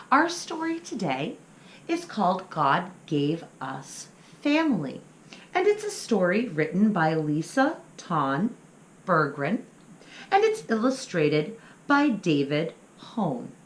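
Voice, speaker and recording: Animated woman reading a children's story. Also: lowish voice, measured pace, a little hiss or hum. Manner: addresses young listeners affectionately. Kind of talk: expressive storybook read-aloud. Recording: roomy, echoing sound.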